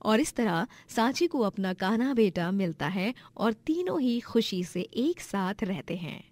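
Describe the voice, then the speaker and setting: A woman's voice: medium pitch, expressive, measured pace, a little mellow, no echo. A woman narrating a scripted moral story as a storyteller.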